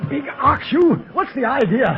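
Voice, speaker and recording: An actor playing a brave, good-humored secret agent and protective uncle in a 1930s radio serial. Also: male voice, very high voice, lively intonation, normal speed. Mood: indignant, teasing. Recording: muffled 1930s radio, commotion behind.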